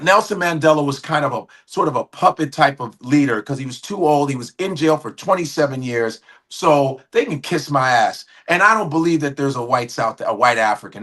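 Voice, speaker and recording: A middle-aged man with a bright, slightly high voice talks heatedly on a live show. He is blunt and profane, and his indignation keeps driving him on. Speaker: guest commentator. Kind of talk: heated opinion talk.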